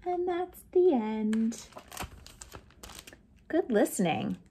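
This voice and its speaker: Slow, animated American woman reading to children. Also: fairly high pitch, a little hiss or hum. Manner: animated, sing-song, with playful sound effects. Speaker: children's librarian, storytime host. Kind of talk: read-aloud storytime for young children. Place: small lamp-lit room at home.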